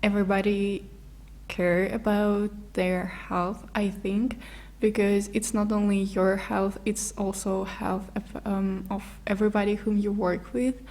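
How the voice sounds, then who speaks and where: A young woman from Russia, a guest in a casual interview, talking haltingly as she searches for words in English. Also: mid-range voice, little pitch movement, a little mellow.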